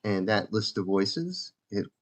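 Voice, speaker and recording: A man giving a casual, informal software demo as a developer presenting his own application. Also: fairly low pitch, expressive, slow. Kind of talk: casual screen-share demo narration. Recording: dull lo-fi mic.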